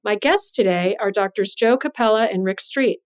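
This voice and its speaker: A woman's voice: mid-range voice, moderate pace, clean tone. A woman hosting a podcast interview, introducing guests and putting questions to them. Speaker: podcast host and journal editor.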